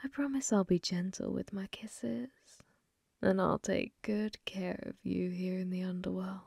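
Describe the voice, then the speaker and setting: A woman voicing a flirty, possessive female demon gambler in an ASMR roleplay, breathy and often whispering, teasing with sweet menace. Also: medium pitch, fairly even intonation, darkish tone, dry recording, clean studio sound. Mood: seductive, possessive. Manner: slow, teasing, calls listener love. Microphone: close microphone, dry.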